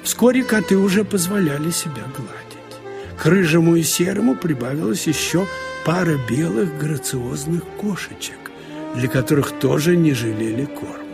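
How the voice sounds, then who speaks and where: Gravelly male storyteller with music behind. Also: a little high, normal speed. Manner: conversational, wry storytelling with expressive asides. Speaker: narrator reading literary prose. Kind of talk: dramatic audiobook narration of a short story.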